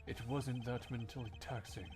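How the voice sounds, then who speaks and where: A man playing a cool, cerebral investigator with a measured, formal, confident delivery in a game fandub. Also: medium pitch, a little mellow, pitched below the performer's own voice, close to an everyday voice. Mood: composed, pointed. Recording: music behind the voice.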